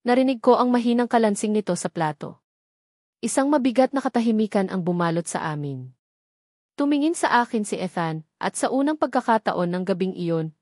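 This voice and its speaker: Female narrator, Filipino accent, mid-pitched, for scripted dramatic fiction in a very dry recording. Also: a little mellow, dry recording.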